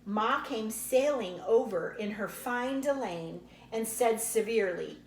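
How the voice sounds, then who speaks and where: A woman's voice: on the high side, animated, a little slow. A woman reading a children's book aloud expressively and unhurriedly, like a teacher explaining to young listeners. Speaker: read-aloud storyteller, teacher. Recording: roomy echo, faint background noise.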